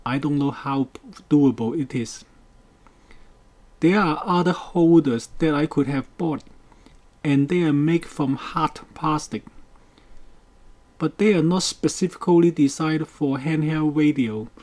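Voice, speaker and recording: A man narrating a scripted how-to about a DIY project in a measured, careful way. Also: on the high side, darkish tone. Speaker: DIY boat project presenter.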